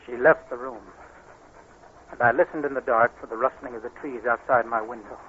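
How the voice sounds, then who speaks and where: A man's voice: medium pitch, fairly even intonation, fairly quick, natural voice. An actor playing an anguished, restless blind man in a dramatic 1940s radio style, with brooding delivery. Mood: brooding, tense. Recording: muffled, noisy 1940s radio recording.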